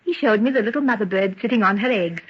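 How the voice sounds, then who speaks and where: Kindly young lady for radio drama: soothing, slightly husky, very expressive, muffled old recording. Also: mid-range voice, fast talker. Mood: wistful, fond. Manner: gentle, soothing, coaxing. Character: kindly genteel young woman, tender, encouraging, wistful. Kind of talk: golden age radio drama acting.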